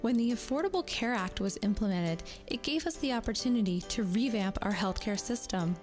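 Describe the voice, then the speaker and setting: A woman reads scripted narration clearly and evenly. Music runs underneath. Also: mid-range voice, animated, fairly quick, darkish tone.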